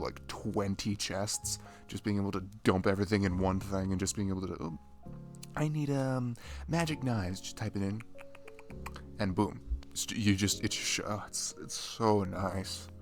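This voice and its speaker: A man's voice: lowish voice, normal speed, soft background music, natural voice. A man playing a shy, dorky best friend in an ASMR roleplay, chatting casually in a rambling, stammering, nerdy way. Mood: nerdy, excited. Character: dorky shy young male best friend, playful gamer.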